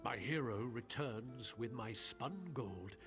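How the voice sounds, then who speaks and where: An actor playing a scheming, haughty silk merchant in a video game, with a theatrical, wheedling and spiteful delivery. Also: male voice, medium pitch, slow, close to an everyday voice. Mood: smooth, disbelieving. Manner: theatrical, pleading turning to sneering contempt. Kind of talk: dramatic video game voice acting. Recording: game audio with faint music.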